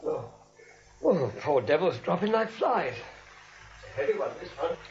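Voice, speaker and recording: A hearty, childlike eccentric in old radio comedy style. His pitch moves broadly over a faintly noisy, muffled recording. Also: sing-song, measured pace, exaggerated voice. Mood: hearty, mournful. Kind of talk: 1940s radio comedy acting.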